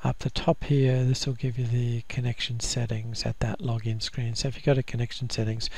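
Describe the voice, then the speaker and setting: A man walking through a software install in a casual screen-recorded tutorial, with frequent ums and restarts. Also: medium pitch, gravelly. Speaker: software trainer.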